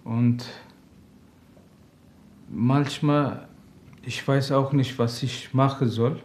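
Hesitant slow-talking man, native Turkish speaker. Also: medium pitch, steady tone, a little mellow. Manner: hesitant, halting German with frequent fillers. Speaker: father seeking counseling. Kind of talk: interview answers.

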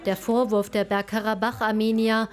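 A woman reporter narrates a news piece in a sober, serious voice of middle pitch. Her reading is plain and scripted. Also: little pitch movement, moderate pace.

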